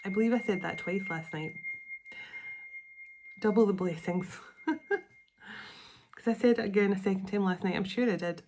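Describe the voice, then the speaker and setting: A middle-aged woman with a sniffly, congested voice, giving a casual spiritual channeling reading, with soft invocations and chatty, rambling asides. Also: mid-range voice, measured pace, pauses often, noisy background. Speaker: spiritual channeler and tarot reader.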